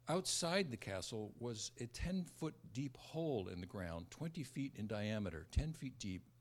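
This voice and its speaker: Male preacher, 70s, American accent. Halting sermon delivery with restarts, mid-range voice, moving between scripture and personal stories. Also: mid-range voice. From born in America, raised largely in Southeast Asia. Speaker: preacher, former missionary.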